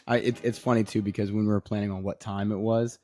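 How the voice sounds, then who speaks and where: A man's voice: lowish voice, flat delivery, fast, warm tone, soft background music. A man in his twenties from Maryland with an American accent, a podcast guest and minister chatting casually with frequent restarts. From Maryland, USA. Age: 20s. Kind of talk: casual interview chat.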